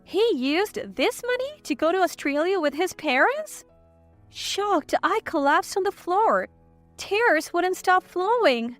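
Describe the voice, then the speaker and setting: Woman narrator doing an emotive story read, really high voice, faint music under it. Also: sing-song, slow pace, fairly bright tone. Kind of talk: scripted first-person story narration.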